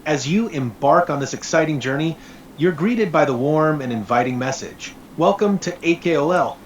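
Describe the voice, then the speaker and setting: Male text-to-speech narrator, fairly high register, scripted read, light background noise. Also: expressive, normal speed, lo-fi sound.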